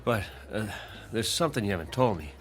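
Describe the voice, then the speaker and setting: A man's voice: fairly low pitch, lively intonation, quick pace, close to an everyday voice. An actor playing an eager, harried young man in a radio drama, with a hesitant, earnest and polite manner. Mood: uneasy, pleading. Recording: music under the voice.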